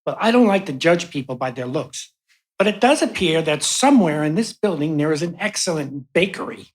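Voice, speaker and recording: A woman's voice: sits low, expressive, a little fast. A woman playing an affable, wry male patient in a live radio play.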